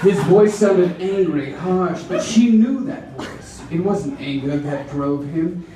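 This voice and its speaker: A man giving a theatrical, drawn-out dramatic reading of romance fiction to a live audience. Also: high-pitched, animated. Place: roomy venue with a live audience. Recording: echoey room from far off, audience noise.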